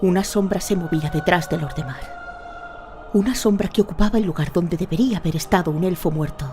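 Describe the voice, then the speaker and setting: A woman narrating a scripted audio drama in a measured, dramatic storytelling manner. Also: fairly low pitch, darkish tone, soft background music.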